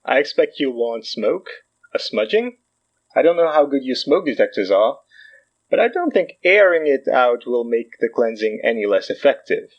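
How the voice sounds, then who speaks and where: A man playing a smooth, hammy mystic guru in an audio drama, with grandiose, theatrical delivery. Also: medium pitch, sing-song, moderate pace, muffled recording. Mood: showy, obliging. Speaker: self-styled guru and herb seller. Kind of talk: audio fiction podcast acting.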